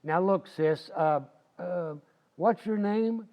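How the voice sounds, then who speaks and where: A man's voice: sits high, slow pace, muffled recording. A man playing a folksy, stubborn, blustering husband in old-time radio comedy, slangy, cocky and grumbling. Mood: cocky, eager.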